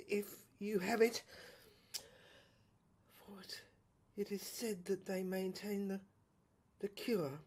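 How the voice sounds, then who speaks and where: Tormented, halting scientist, played by a woman. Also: mid-range voice, a little mellow, slightly rough. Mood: pleading. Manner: halting, ominous, melodramatic. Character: tormented man scientist transforming, haunted and pleading.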